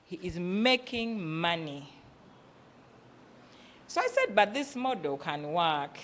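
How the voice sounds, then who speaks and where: A woman's voice: lowish voice, very animated, slow pace. Emphatic Ugandan woman giving a business talk. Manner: emphatic, asking rhetorical questions and answering them. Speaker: business workshop speaker.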